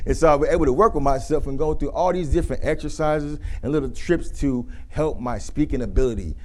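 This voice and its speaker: A man in his thirties with a deep voice, giving a casual workshop talk on communication and acting out flat and lively greetings as examples. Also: a little fast. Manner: conversational, demonstrates monotone versus lively greetings. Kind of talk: casual presentation with demonstrations.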